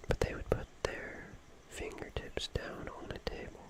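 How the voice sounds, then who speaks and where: A young man whispering casual, rambling ASMR in a hushed, gentle manner with long pauses. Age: young adult. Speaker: ASMR host.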